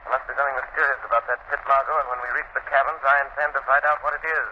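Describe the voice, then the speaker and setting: An actor playing a suave, inquisitive amateur sleuth in a 1930s radio drama, crisp, deliberate and commanding. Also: male voice, mid-range voice, level tone, lightly acted. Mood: calmly probing. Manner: crisp, deliberate, probing questions. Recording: muffled, echoey, hissy 1930s radio recording.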